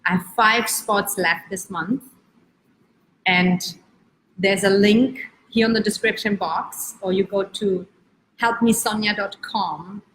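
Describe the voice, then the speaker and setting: A woman from Spain, raised in Germany, giving an earnest, encouraging motivational talk as a life coach, slowing down to stretch key words. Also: mid-range voice, a bit bright, a little hiss or hum, low-quality recording. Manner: earnest, encouraging, uneven pace with drawn-out words. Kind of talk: live-streamed motivational talk.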